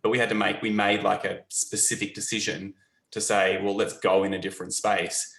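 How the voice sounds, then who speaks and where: A man's voice: fairly low pitch, level tone, brisk, creaky, a bit bright. A man with an Australian accent leading a design studio, speaking in a hesitant panel chat with repeated words. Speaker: agency leader, panelist. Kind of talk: conversational panel talk. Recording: echoey room.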